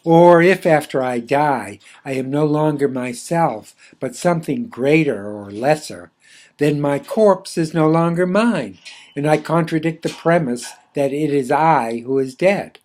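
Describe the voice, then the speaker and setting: A man reading his own philosophical essay aloud in a measured, deliberate, formally literary way. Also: fairly high pitch, darkish tone.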